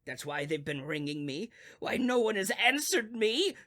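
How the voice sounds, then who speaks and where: A man's voice: high voice, very animated, normal speed, very noisy. An actor playing a crotchety, panicky old invalid man in an old radio thriller, stammering and fretful in a melodramatic way. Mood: fearful muttering. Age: older.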